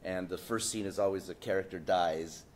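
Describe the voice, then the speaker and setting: Panelist, male, lowish voice, loose filler-heavy storyteller, heats up when annoyed. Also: steady tone, normal speed. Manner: rambling, many fillers, rising indignation. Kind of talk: casual anecdotal storytelling.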